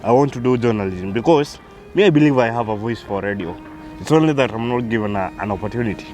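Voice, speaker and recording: A man's voice: medium pitch, fast, a little mellow, faint music behind. A man in his thirties with a Kenyan accent, a guest telling his life story, who hesitates and repeats words as he starts. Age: 30s. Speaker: interview guest.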